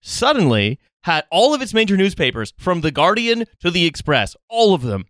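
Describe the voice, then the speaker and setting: A man's voice: high voice, huge pitch swings, normal speed, a bit bright, dry recording. A man hosting a podcast, chatting casually about the news in a sarcastic, satirical way. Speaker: podcast host.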